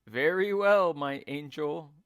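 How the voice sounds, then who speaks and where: A man's voice: a little high, clear, resonant tone. Man playing a nasty, mock-sweet scheming husband. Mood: falsely sweet. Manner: mock-sweet, gloating, drawn-out. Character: nasty, gleeful, scheming husband feigning concern. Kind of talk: storybook character voice.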